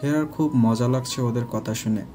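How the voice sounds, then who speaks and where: A man's voice: medium pitch, moderate pace, mellow. A man narrating a scripted romantic family story as the narrator. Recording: faint music behind.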